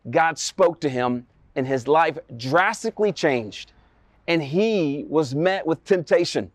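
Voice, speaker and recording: A man's voice: on the high side, dry recording. A man preaching a sermon, retelling a Bible story dramatically with rhetorical questions and emphatic repetition.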